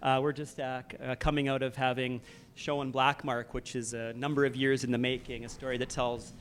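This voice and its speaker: A man's voice: mid-range voice, little pitch movement, brisk, slight background noise. A man with a Canadian accent, introducing a festival panel as its moderator in a loose, filler-heavy way. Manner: conversational, many fillers and "sort of".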